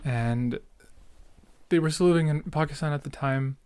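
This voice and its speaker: Young man with a smooth, clear voice. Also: on the high side, normal speed, a little mellow, no room sound. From Canada. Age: late teens, young adult. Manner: rambling, self-interrupting, youthful slang. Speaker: podcast host. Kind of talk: casual solo chat, reminiscing.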